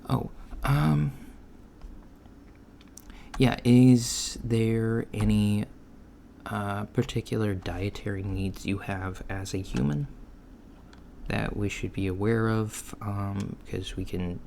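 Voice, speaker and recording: A man playing an easygoing, reassuring alien job interviewer in a soft-spoken ASMR roleplay, talking casually and haltingly and trailing off. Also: fairly low pitch, steady tone. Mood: relaxed, hesitant. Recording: lo-fi with clear background noise. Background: computer keyboard typing.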